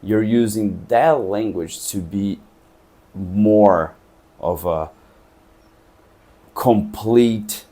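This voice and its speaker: A man from Brazil speaks English with a Portuguese accent in a thoughtful, unhurried vlog. His voice sits fairly low and sounds dark and mellow. He stops now and then to look for the right word. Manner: thoughtful, searching for words. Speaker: English teacher and traveler. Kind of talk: reflective vlog talk.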